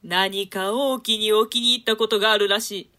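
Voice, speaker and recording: A woman's voice: medium pitch, fairly even intonation, normal speed, pushed and bright, lightly acted. A woman voicing a trusting, loyal male lord in a play reading, earnest and formal.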